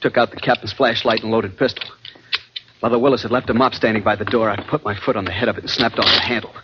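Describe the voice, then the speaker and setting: A man's voice: lowish voice, fairly even intonation, quick pace, heavy background noise, close to an everyday voice. An actor playing an anxious, haunted American man who tells a sea suspense tale in tense, dramatic 1940s radio style. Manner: tense, brooding, dramatic narration. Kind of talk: 1940s radio drama acting and first-person narration. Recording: muffled old radio recording, loud organ music.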